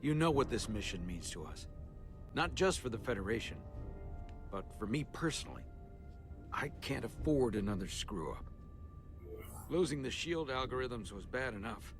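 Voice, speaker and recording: A man's voice: mid-range voice, animated, moderate pace, pauses often, faint music behind, natural voice. A man playing a suspicious starship captain in a video game, with a sarcastic, condescending manner. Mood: worried, bitter.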